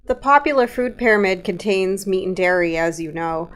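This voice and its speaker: A woman with a voice of middle pitch conducts an interview. She puts questions to her guest as the interviewer. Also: lively intonation, moderate pace.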